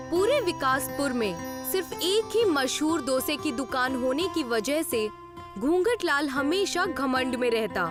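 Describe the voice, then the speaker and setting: A woman reading scripted storytelling narration for a village tale. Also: sits high. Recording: loud music behind the voice. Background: loud background music.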